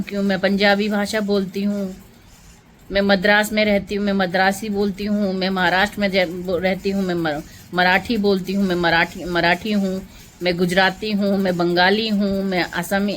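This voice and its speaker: A woman speaks straight to her viewers in an emotional political monologue. Her voice sits at a middle pitch, and she talks in a rhetorical, impassioned way, repeating phrases to press them home. Faint noise runs behind her voice. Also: flat delivery, normal speed. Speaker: vlogger.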